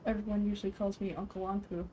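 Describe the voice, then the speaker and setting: A woman's voice: mid-range voice, flat delivery, dark tone, a little hiss or hum, close to an everyday voice, poor audio. Woman playing a courteous older male village chief. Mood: apologetic. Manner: measured, formal, apologetic.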